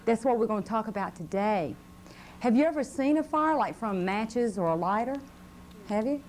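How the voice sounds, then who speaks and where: A woman with a fairly high voice teaches children as a fire safety educator. She explains simply and keeps prompting with questions. There is faint noise on the old tape. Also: lively intonation, moderate pace, darkish tone. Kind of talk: interactive safety talk to children.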